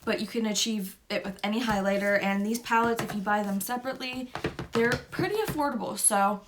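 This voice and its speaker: A woman's voice: medium pitch, a little fast, background noise. A young woman with a stuffy nose from a cold, talking through a makeup tutorial step by step in an easygoing way. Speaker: beauty vlogger.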